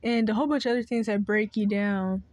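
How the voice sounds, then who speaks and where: A woman of ordinary pitch rambles to the camera with no script, stopping to wonder where her point went and doubling back. Her voice is cracking and strained. The sound is close and dry, with no room around it. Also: steady tone, fast talker, a little mellow, no echo. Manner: meandering, loses her train of thought and restarts. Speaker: video creator. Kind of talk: rambling unscripted monologue.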